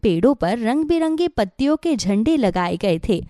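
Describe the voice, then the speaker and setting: A woman's voice: fairly high pitch, sing-song, normal speed, warm tone, no echo, clean studio sound. A woman narrating a scripted children's animal story.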